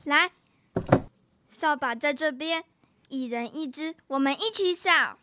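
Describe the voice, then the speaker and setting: A woman's voice: high voice, takes it slowly, heavy background noise. A young girl acting in a children's radio drama as a bossy, scheming big sister. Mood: coaxing. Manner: bossy, coaxing.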